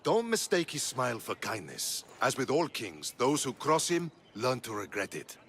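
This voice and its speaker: A loyal, measured soldier of Sparta in a game cutscene. His voice is creaky and forceful with lively pitch, earnest and courteous over noticeable ambient noise. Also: exaggerated voice. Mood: advising. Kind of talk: dramatic video game acting.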